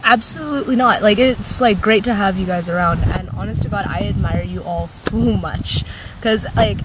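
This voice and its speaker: A woman's voice: mid-range voice, normal speed. A teenage woman from Canada, answering casually and effusively with lots of "like". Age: late teens. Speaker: student interviewee. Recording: distant mic, loud outdoor traffic noise.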